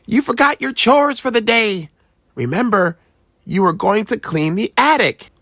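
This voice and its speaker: A storyteller voicing a boy's mother in a children's storybook, gently reminding and cautioning. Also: mid-range voice, lively intonation, unhurried, dry recording, studio quality, voice pushed up. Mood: gently instructing.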